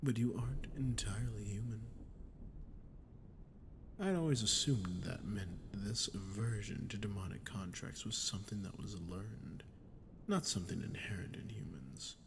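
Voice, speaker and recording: A man acting a smug sadistic demon, low gravelly voice. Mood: musing, condescending. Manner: deliberate, condescending, taunting. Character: sadistic archdemon, smug, menacing, dominant toward a human. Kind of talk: ASMR roleplay audio acting. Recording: clear background noise with low rumble.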